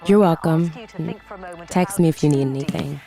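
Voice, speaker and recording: Protective mother, brusque, lower-pitched, radio drama. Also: moderate pace, gravelly, music in the background. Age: adult.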